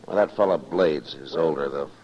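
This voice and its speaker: An actor playing a stern, steady US marshal in a 1950s radio western, laconic and quietly authoritative. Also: male voice, rumbling low, normal speed. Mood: calm, serious. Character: stern, steady male US marshal in the Old West. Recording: muffled vintage radio recording.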